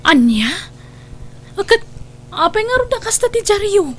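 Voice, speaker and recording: A woman's voice: extremely high pitch, very animated, moderate pace. A woman with an Ilocano Filipino accent playing a kind young daughter who pleads earnestly, in radio drama style. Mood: puzzled.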